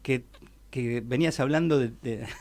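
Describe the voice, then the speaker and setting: A radio host with a voice of ordinary middle pitch. He circles his questions, stumbling and repeating small words before landing on the point. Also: moderate pace, darkish tone. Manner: stammering, repeats words while forming questions. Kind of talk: radio interview.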